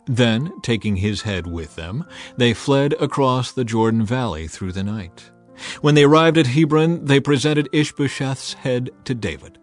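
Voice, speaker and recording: A man narrating scripture as a dramatized audiobook, in a solemn, emphatic, clearly enunciated storytelling manner. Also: mid-range voice, animated, unhurried. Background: background music.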